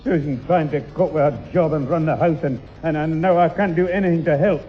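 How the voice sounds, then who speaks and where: A man's voice: a little high, fairly quick, faint music behind, lightly acted. A man playing a grumpy, exasperated old grandfather with a Northern English accent in a 1960s radio sitcom. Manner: blustering, irritable, exclamatory. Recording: dull 1960s radio recording.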